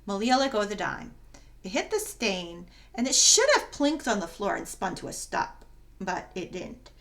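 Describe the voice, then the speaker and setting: A woman reading a children's story aloud as narrator, in an expressive, dramatic and emphatic way. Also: medium pitch, moderate pace. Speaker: story reader.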